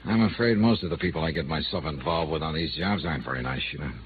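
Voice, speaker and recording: A man's voice: a bass voice, quick pace, noisy background. An actor playing a wry, world-weary male private investigator in 1950s radio noir style, with clipped, sardonic delivery. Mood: softened, wry. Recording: old narrow-band radio recording with music.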